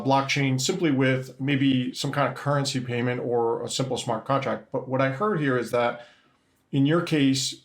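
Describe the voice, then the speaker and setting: A man's voice: mid-range voice, echoey room. A middle-aged man hosting a business interview, hesitant and full of restarts as he sums up his guest's points. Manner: hesitant, restarts often, summarizing.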